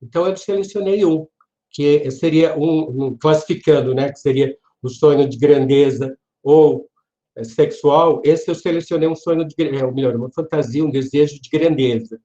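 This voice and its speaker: A man with a Brazilian accent giving an informal online literature lecture in a hesitant, halting manner, often checking for agreement. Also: medium pitch, warm tone. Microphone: online video call. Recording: dull, lo-fi online class audio.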